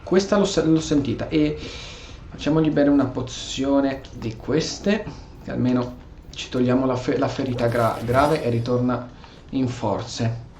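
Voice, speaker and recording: A man's voice: medium pitch, moderate pace, darkish tone, roomy sound, slight background noise. A man giving casual let's play commentary as he plays a video game. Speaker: gamer narrating a playthrough.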